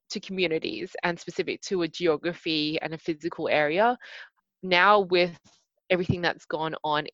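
A woman's voice: on the low side, no echo, highs cut off. A woman speaking as a guest on a podcast over a video call, chatty and full of "you know" fillers. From Australia. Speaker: podcast guest, hackathon organiser.

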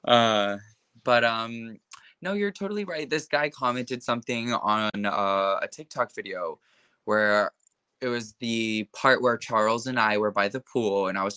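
A young man speaking in an interview, his voice pitched a little on the low side but with a bright, forward edge. He talks with heated conviction and scatters filler words as he goes. The sound is dry and close. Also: moderate pace, smooth, no room sound. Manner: impassioned, heavy use of filler words. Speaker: interview guest. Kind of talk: casual conversation.

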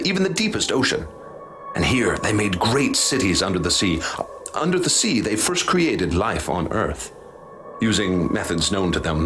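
A low voiced haunted professor, formal n ominous, radio drama, noisy ambience behind. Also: male voice. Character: grave haunted male professor recounting horrors he witnessed. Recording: clear background noise and ambience.

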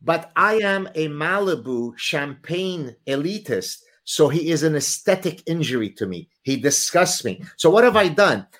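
A man whose first language is Arabic, a guest author explaining his ideas in a lively, theatrical, lecturing way. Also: a little high, moderate pace, hardly any pauses. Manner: lecturing, theatrical, uses vivid examples. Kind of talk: animated explanatory talk.

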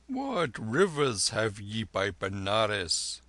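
A man playing a slow, weary old holy man. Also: lowish voice, huge pitch swings, bright, forceful tone, dry recording, heavily acted.

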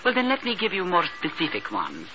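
Haughty, scheming informant, animated delivery. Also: female voice, on the low side, a little fast. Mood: coldly determined. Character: proud, scheming, coolly threatening female informant. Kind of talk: 1950s radio drama acting. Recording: noisy, dull 1950s radio recording.

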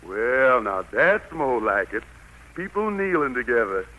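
A man playing the Lord as a gentle but stern, folksy preacher-like figure with a Southern US accent, in 1940s radio drama style. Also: mid-range voice, sing-song, measured pace, poor audio. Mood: wistful, gentle. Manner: folksy, admonishing. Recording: vintage 1940s radio broadcast.

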